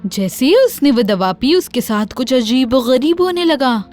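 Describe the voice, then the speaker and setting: A woman narrating a scripted children's fairy tale in an expressive storytelling manner. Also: high-pitched, soft background music.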